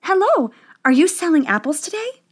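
A woman voicing a young princess in a sweet, eager, higher voice. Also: big pitch swings, moderate pace.

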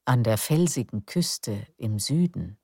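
A woman narrating a calm bedtime story in a slow, soft, soothing way. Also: a bass voice, slightly rough, no echo, studio quality.

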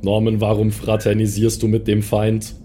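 A man voicing a stern, suspicious killer robot with a terse, formal, deadpan delivery in a tabletop audio play. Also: fairly low pitch, normal speed, slight background noise, lightly acted. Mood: reproachful.

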